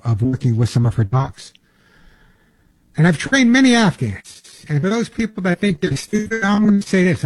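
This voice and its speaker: A man's voice: mid-range voice, darkish tone, husky, no room sound. An older man hosting a long-running pet radio show, talking in an emphatic, folksy monologue that repeats its points. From Long Island, New York.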